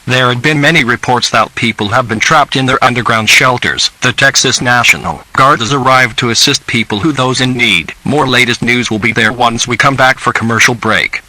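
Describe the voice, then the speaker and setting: A man's voice: mid-range voice, natural voice, poor audio. A man playing a local TV news anchor, formally reading a scripted disaster report.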